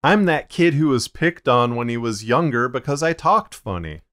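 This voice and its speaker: A man with a slightly muddied voice of limited range, narrating an explanatory video in a measured, deliberate, plain-spoken way as a podcast host. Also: medium pitch, animated, a bit bright.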